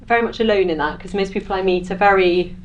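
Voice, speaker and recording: A woman's voice: medium pitch, quick pace, muffled recording. A woman chatting casually as a podcast guest, modest and self-deprecating in her manner. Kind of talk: casual chat.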